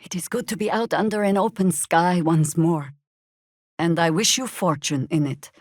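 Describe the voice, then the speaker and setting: An actress playing a seasoned, sardonic older female druid warrior in a fantasy video game, wry, commanding and deliberate. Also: on the low side, no echo, studio quality, natural voice. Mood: solemn, warm.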